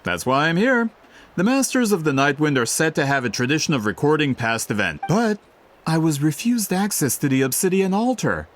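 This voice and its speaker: An actor playing a self-important book merchant in a video game, speaking in a formal, wordy, persuasive way. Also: male voice, on the high side, sing-song, normal speed. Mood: explaining, persuasive. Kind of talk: scripted video game dialogue.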